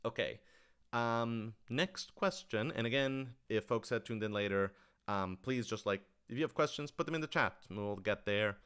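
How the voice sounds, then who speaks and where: Man, lowish pitch, smooth clean tone, informal livestream Q&A, earnest, enthusiastic, discursive. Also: normal speed, fairly bright tone. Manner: earnest, enthusiastic, rambling asides. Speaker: writer-director answering audience questions. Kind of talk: casual livestream Q&A.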